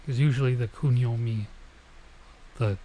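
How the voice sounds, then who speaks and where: A man streaming a visual novel, reading lines aloud and commenting casually, haltingly sounding out some words. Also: mid-range voice, fairly even intonation, warm tone, a little hiss or hum, poor audio.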